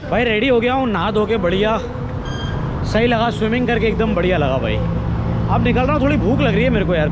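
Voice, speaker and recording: A man from India with an Indian accent, a travel vlogger chatting casually to camera and mixing English words into his talk. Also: high-pitched. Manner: colloquial, mixes English words into Hindi. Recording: noisy outdoor vlog audio with traffic.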